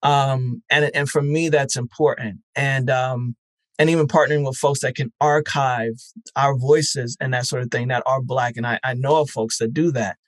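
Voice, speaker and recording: A man with a medium-pitched voice talks as a podcast guest in an earnest, reflective way. He searches for his words and fills the gaps with "um." The sound is dry and close to the mic. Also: steady tone, normal speed, no room sound. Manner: thoughtful, earnest, many "um"s and restarts. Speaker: podcast festival founder, guest. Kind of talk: casual interview talk.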